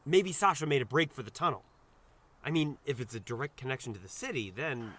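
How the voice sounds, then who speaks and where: A man's voice: mid-range voice, animated, a little fast, fairly bright tone, a little hiss or hum, lightly acted. An actor playing an awkward, anxious young male doctor in an audio drama, rambling and scolding himself in a flustered, hesitant way. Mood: watchful, hesitant. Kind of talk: audio drama dialogue with first-person inner monologue.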